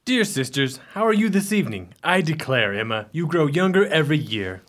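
Man playing a slick sinister brother in a radio play, formal and courtly, lots of swing in his pitch. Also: sing-song, a little fast, fairly bright tone. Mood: smoothly courteous. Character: Henry, smooth-talking, coolly self-assured, sinister brother.

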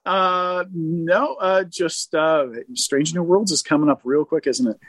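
A man calling in to a fan talk show, chatting casually in a halting, hesitant way. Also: on the high side, fairly even intonation, no room sound.

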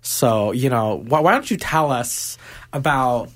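A man's voice: medium pitch, animated, measured pace, fairly bright tone. A man hosting a casual campus interview, rambling and teasing his guests and laughing often. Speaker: host.

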